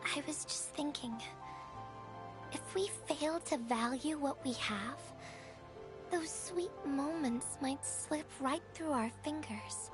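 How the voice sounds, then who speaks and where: A high, soft young woman's voice, taking its time over each phrase. She is a playful, earnest messenger with a wistful streak, teasing and tender in a game-dialogue style, over faint music. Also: slow. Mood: wistful, sorrowful. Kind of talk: video game voice acting.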